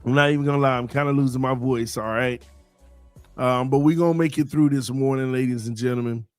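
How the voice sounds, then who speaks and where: Male sports host, solo live show, sing-song hype delivery, voice going hoarse, dry mic. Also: mid-range voice, steady tone, fairly quick, dry recording, faint music behind. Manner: sing-song, hyped morning greetings. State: losing his voice.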